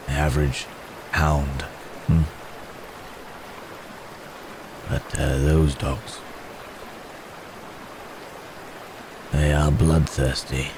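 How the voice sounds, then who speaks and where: A man voicing a gentle, remorseful wounded werewolf in an intimate ASMR roleplay, speaking haltingly and formally, as if in pain. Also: very deep voice, background noise, full character voice. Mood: pained, wry. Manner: halting, hesitant, soft-mannered storytelling with formal wording. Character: wounded male werewolf, gentle, remorseful, recounting his escape.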